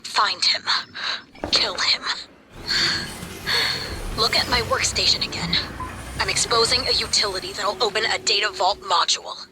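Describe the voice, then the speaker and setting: An actress playing a wounded, grieving station officer in a video game, speaking in a halting, emotionally strained way. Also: female voice, mid-range voice, fairly even intonation, noisy background, lightly acted. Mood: urgent. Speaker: game character. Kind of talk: dramatic game radio message.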